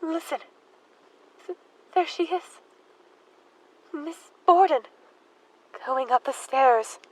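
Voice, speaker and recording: A young woman playing a devout, unhinged murderous sister in an audio drama, with halting, fervent delivery. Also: sits high, rough recording. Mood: agitated, haunted. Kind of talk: high school audio drama acting.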